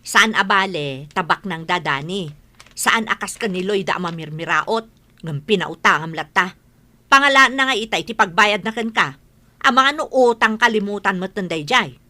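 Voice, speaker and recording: A woman playing a money-minded, nagging village wife in an Ilocano radio comedy drama, with an animated, scolding manner. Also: mid-range voice, normal speed.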